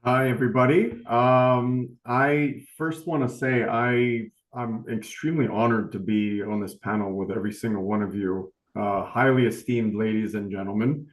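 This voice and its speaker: A man's voice: mid-range voice, steady tone, moderate pace, few pauses. Humble man in an echoey room. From United States. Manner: humble, rambling, many fillers. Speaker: panelist. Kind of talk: webinar panel talk. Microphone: video call.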